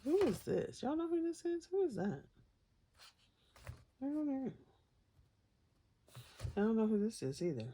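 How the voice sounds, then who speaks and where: Creaky-voiced woman chatting casually, lively pitch. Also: a little hiss or hum. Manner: chatty, rambling, giggly, folksy asides. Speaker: host of a colouring book flip-through. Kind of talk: casual unscripted chat to viewers over book pages.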